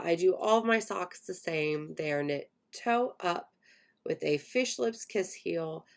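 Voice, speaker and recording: A woman in her late twenties hosting a casual podcast, croaky and hoarse while getting over an illness, with a voice that cracks now and then. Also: fairly low pitch. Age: 20s. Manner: chatty, self-deprecating, laughs often. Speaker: podcast host. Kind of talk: casual chat.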